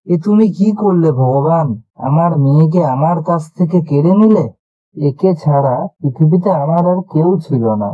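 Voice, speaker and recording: A man's voice: a little high, normal speed, no echo, close to an everyday voice. A man voicing a grieving, anxious father in a cartoon, lamenting and imploring, in a dull recording. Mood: grief-stricken. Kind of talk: cartoon story voice acting.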